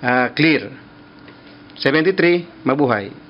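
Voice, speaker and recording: Filipino male amateur radio operator, casual, unhurried and searching for words, dull noisy phone capture. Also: fairly high pitch, lively intonation. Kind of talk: casual ham radio chat with call signs. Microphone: mobile phone.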